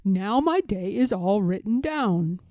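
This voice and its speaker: A woman's voice: mid-range voice, lively intonation, takes it slowly, mellow, no echo, muffled recording, pitched below the performer's own voice. A woman voicing a fussy, literal-minded male toad in a children's storybook, emphatic and easily upset. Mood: matter-of-fact, orderly. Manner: emphatic, dramatic, wailing when upset.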